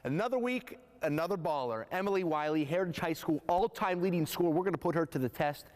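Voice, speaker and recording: A man's voice: a little high, animated, fast, a little mellow. A man working as a sports reporter, interviewing in a casual, bantering style.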